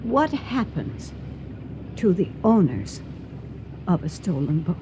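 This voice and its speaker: An actress playing an earnest, puzzled elderly wife with a questioning manner in a radio drama. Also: female voice, fairly low pitch, big pitch swings, slow. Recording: dull radio recording with noisy storm ambience.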